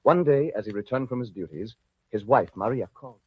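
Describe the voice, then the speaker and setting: A man's voice: medium pitch, expressive, fairly quick, breathy edge, dry recording. A man giving scripted narration for an old-time radio drama. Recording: dull lo-fi old radio recording. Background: music.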